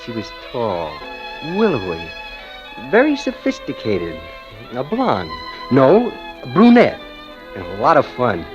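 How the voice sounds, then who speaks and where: Smitten American clerk, muffled old radio. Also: male voice, medium pitch, wildly swooping pitch, takes it slowly, over music. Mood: dreamy. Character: smitten, obsessive male bank clerk telling his story. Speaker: narrator and lead actor. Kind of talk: 1940s radio drama narration.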